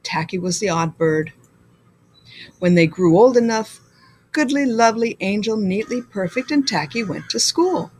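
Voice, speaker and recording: A woman reading a children's story aloud like a school librarian, with deliberate, expressive delivery. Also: medium pitch, slight background noise, poor audio.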